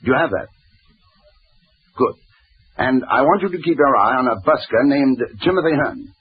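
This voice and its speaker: Dramatic police inspector, tinny radio. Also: male voice, medium pitch, lively intonation, moderate pace, husky. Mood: brisk, commanding. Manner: intense, terse, portentous. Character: grave, dramatic Scotland Yard police inspector narrating his case. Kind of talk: 1940s radio crime drama acting and narration. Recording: tinny vintage radio broadcast.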